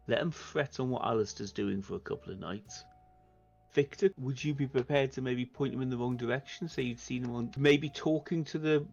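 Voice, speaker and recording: Creaky-voiced man at game table. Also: medium pitch. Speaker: player in a tabletop roleplaying game. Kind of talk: casual planning talk, partly in character. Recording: slightly dull, band-limited sound.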